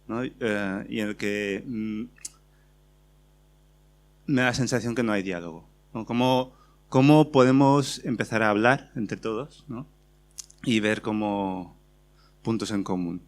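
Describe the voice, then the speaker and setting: A man in the audience asking a panel a question, halting and unsure. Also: lowish voice. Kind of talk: question from the floor.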